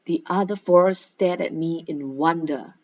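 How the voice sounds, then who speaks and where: Lower-pitched woman teacher, measured and instructive. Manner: instructive, rhetorical questions with emphatic key words. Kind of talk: guided read-aloud lesson with questions and explanations. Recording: dull, lo-fi sound.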